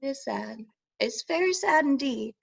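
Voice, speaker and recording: A woman's voice: sits high, lively intonation, moderate pace, gravelly, lo-fi sound, heavily acted. A woman voicing a lonely, timid elder sister in a stage play reading, talking hesitantly and tearfully with stammers. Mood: gentle, subdued.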